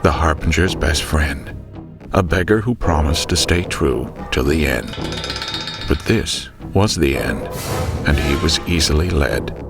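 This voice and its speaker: An actor playing a wry, ominous storyteller delivering rhymed narration in a radio drama. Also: male voice, a bass voice, full character voice. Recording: loud music under the voice. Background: loud music.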